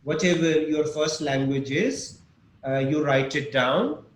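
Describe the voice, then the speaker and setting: A man leads an online English class at an unhurried pace, patiently walking through examples and asking his students questions. His voice sits a little high, and the recording carries an echo with faint background noise. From Pakistan. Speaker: English teacher. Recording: echoey room with faint noise.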